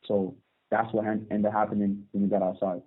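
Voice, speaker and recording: A man in his thirties from Barbados, calling in from prison and telling his story calmly, with hesitant restarts. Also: lowish voice, fairly quick. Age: 30s. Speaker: guest. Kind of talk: phone interview. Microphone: phone call. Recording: tinny phone line.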